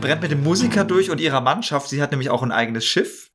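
A man's voice: fairly high pitch, animated, quick pace, fairly bright tone, breathy edge, faint music behind. A man chatting casually as a podcast guest, explaining things with enthusiasm. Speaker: podcast guest, audio drama maker and actor. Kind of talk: casual interview chat.

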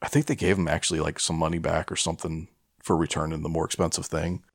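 A middle-aged American man chatting casually as a podcast co-host, telling stories in a rambling, halting, profane way. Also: rumbling low, flat delivery, dry recording, clean studio sound. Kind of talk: casual chat, rambling storytelling.

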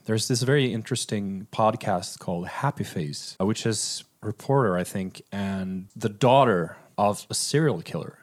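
Low-voiced man discussing film. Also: normal speed, hardly any pauses. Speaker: film podcast co-host.

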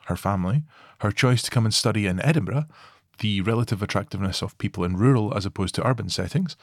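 A man hosting a podcast, reading a scripted introduction in a measured, orderly way. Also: fairly low pitch, no room sound, studio quality. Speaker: podcast host.

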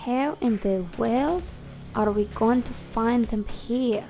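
A woman voicing a wry woman gunslinger in a tabletop game, with a slow, drawn-out put-on accent. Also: fairly high pitch, mellow, soft background music. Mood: wondering.

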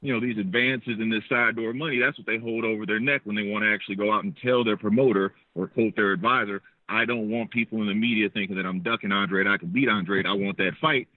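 A man calling in by phone while out on a walk outdoors, talking quickly in a casual, analytical way. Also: on the low side, steady tone, no room sound. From United States. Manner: fast, conversational, analytical. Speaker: caller. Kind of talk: phone call-in. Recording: tinny dry phone line.

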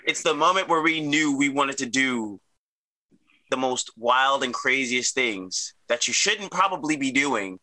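Host guy with a really bright edgy voice, easygoing, agrees a lot. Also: medium pitch, expressive. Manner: agreeable, quick affirmations, rambling. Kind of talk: casual chat.

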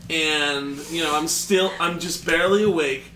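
A man's voice: a little high, normal speed, echoey room, background noise. A man co-hosting a casual podcast, teasing and making crude jokes. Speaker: podcast co-host. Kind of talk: casual chat.

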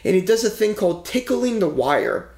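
Male podcast co-host, gravelly, rambling filler-heavy storyteller, swears, echoey room. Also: sits high, sing-song, normal speed, darkish tone. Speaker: podcast co-host telling a true-crime case. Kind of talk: casual true-crime podcast chat.

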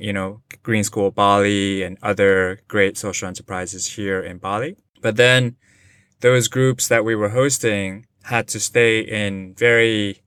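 Forties male guest from Japan, low-ish smooth voice, thoughtful, filler-heavy remote interview. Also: a bit bright, dry recording. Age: 40s. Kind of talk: casual interview conversation. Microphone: remote online call.

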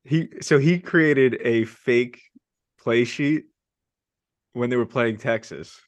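A young man hosting a casual sports podcast, talking eagerly and telling stories with excitement. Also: medium pitch, slow pace, no echo, dull audio. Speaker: podcast host.

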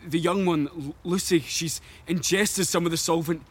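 A man acting in a horror radio play as an agitated, tormented recluse who tends abandoned pigs, speaking urgently and haltingly. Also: a little high, steady tone, slightly rough.

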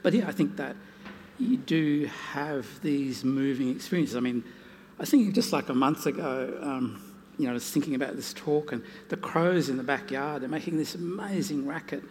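A man speaking unscripted on a live panel as a naturalist and author, telling anecdotes in an animated, self-deprecating way. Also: on the high side, moderate pace. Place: large indoor hall at a live museum event. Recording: hall reverb, noisy live event.

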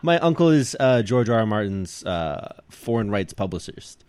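A man's voice: fairly low pitch, very animated, normal speed, crowd noise. A man co-hosting a podcast in casual, conversational banter. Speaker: podcast co-host.

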